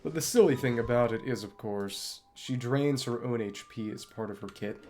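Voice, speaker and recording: A man's voice: mid-range voice, normal speed, darkish tone, slight background noise. A man giving casual gameplay commentary as a streamer, explaining mechanics in a wordy, understated way over game music. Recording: music behind the voice.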